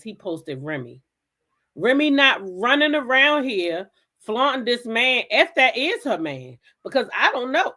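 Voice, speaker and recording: A woman's voice: medium pitch, pushed and bright. Blunt American woman gossiping. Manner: blunt, emphatic, repeats phrases. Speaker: host of a gossip talk show. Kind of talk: casual opinionated gossip commentary.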